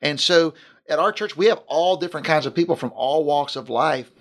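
A man's voice: fairly high pitch, a little fast, a bit bright. A male pastor talking in a casual podcast discussion, earnest and fond of long lists.

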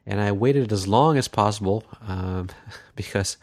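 A male podcast host, congested from a cold, talking haltingly with lots of fillers. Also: on the low side. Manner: halting, many fillers and restarts. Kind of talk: solo podcast monologue.

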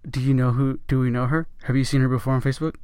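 A woman co-hosting a podcast, reacting with quick interjections and questions in casual chat. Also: rumbling low, fairly even intonation, a little mellow, smooth, no room sound. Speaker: podcast co-host.

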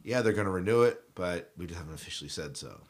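Male podcast host, low register, casual and joking conversational news delivery. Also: lively intonation, fast.

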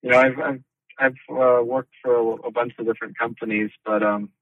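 A man's voice: mid-range voice, fairly even intonation, fairly quick, dry recording. A man answering interview questions over a call, hesitant, with lots of restarts and fillers. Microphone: phone call. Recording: tinny, distant phone line.

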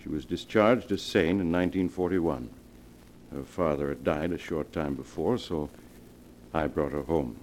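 Very deep-voiced, grave family doctor. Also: male voice, darkish tone, a little hiss or hum. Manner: solemn, measured, authoritative. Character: grave, controlled family doctor breaking bad news. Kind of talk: 1940s radio melodrama acting. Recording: vintage 1940s radio broadcast.

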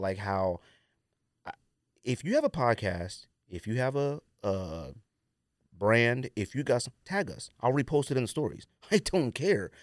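Animated man ranting on a podcast. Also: lowish voice, dry recording, clean studio sound. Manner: emphatic, repeats phrases, slangy and blunt. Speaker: podcast host. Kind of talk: casual sneaker podcast chat, ranting.

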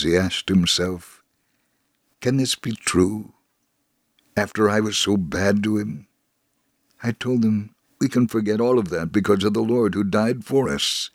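A man giving a scripted dramatic reading of a first-person story in a measured, earnest way. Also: lowish voice, no echo, studio quality. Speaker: dramatic reader of a testimony.

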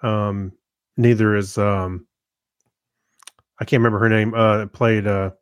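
A man co-hosting a podcast in casual, unscripted talk, hesitant and often pausing to search for his words. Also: on the low side, flat delivery, clean tone, no echo, studio quality. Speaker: podcast co-host reviewing TV shows.

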